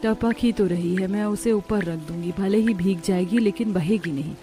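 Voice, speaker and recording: A woman's voice: medium pitch, normal speed, warm tone, soft background music, close to an everyday voice. A woman voicing a meek, dutiful young daughter-in-law in a cartoon story. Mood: earnest, practical.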